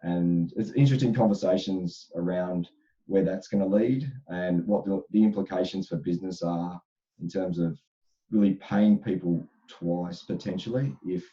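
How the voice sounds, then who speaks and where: This is a man speaking as an HR expert on a panel. His voice is very deep and dark, with a slight crackle from a sore throat. The audio sounds dull and lo-fi, and he answers thoughtfully, restarting phrases often. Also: normal speed. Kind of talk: casual panel discussion.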